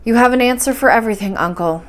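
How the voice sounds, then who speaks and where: A woman's voice: a little high, fast, natural voice. Woman reading as polite, doubtful young nephew. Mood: questioning. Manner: polite, reasoning, questioning. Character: cautious, questioning young nephew, respectful but doubtful.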